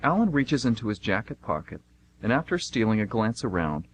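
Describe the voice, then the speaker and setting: A man's voice: lowish voice, very animated, fairly quick. A man hosting an amateur radio theater show and reading its scripted narration.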